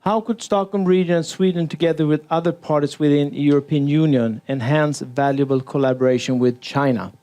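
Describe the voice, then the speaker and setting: Mellow-voiced male panel moderator. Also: fairly high pitch, fairly even intonation, a little fast, few pauses, dry recording. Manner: brief, reads audience questions aloud.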